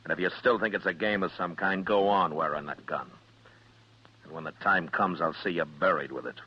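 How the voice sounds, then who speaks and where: An American actor playing a stern, world-weary US marshal in an old radio western. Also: male voice, deep voice, a little fast, breathy edge. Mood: firm, fatherly. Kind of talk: 1950s radio western acting and narration. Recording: dull old radio recording, music behind.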